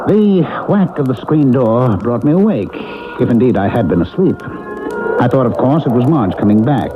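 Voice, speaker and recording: Man acting a lively, plain-spoken middle-aged husband. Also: medium pitch, normal speed, natural voice. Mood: contented, reflective. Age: 40s. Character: contented husband in his late forties, turned indignant and disbelieving. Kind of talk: 1970s radio drama acting with first-person narration. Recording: dull vintage radio broadcast with music.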